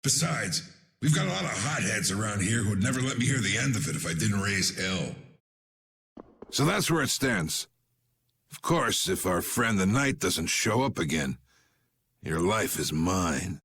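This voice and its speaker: An actor playing a gruff, shrewd old guild leader in a video game, gravelly and blunt, with a folksy turn of phrase. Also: male voice, lowish voice, very animated, unhurried, a bit bright, exaggerated voice. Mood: gruff, wry. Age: older. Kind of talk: dramatic video game voice acting.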